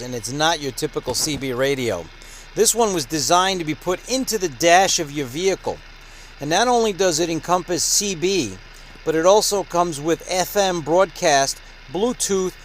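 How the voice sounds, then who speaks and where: A man's voice: a little high, fairly bright tone, slight background noise. A man hosting a conversational product review, presented in the manner of a radio show. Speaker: host of a radio gear review channel.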